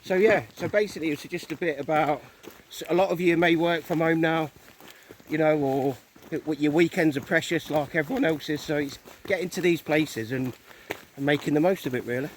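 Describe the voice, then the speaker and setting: English male co-host, fairly high voice, casual walking chat, clear outdoor noise on the recording. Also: fairly quick. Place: outdoors on a country lane.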